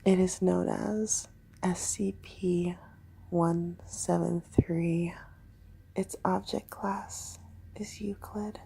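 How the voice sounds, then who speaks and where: A woman voicing a creepy, teasing fox girl creature held in containment, whispering slowly in an ASMR horror roleplay. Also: lowish voice, fairly even intonation, creaky, mellow, a little hiss or hum, full character voice, low-quality recording. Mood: eerie, hushed. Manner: slow whispering, sinister teasing.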